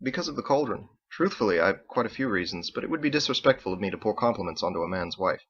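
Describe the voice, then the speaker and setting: An earnest paladin leader for a storybook, played by a male reader. He speaks formally and courteously, with firm resolve, in a fairly low voice. The recording is slightly dull and lo-fi. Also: animated, fairly bright tone, breathy edge, heavily acted. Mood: apologetic. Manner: formal, measured, courteous phrasing.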